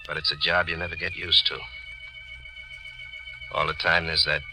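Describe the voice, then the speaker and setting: A man's voice: rumbling low, flat delivery, normal speed, vocal fry. An actor playing a hard-boiled, down-on-his-luck hearse driver in 1940s radio noir style, wisecracking and streetwise. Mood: world-weary. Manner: wisecracking, streetwise, weary tough-guy talk. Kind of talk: 1940s radio noir acting, first-person narration and dialogue. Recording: old 1940s radio recording with music.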